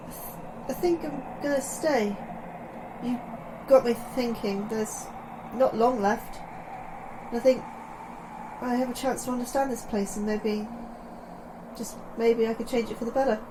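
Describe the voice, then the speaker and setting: A woman playing an anxious, hesitant astrobiologist in a sci-fi horror audio drama, speaking haltingly. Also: a little high, background noise, natural voice. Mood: wistful, unsure.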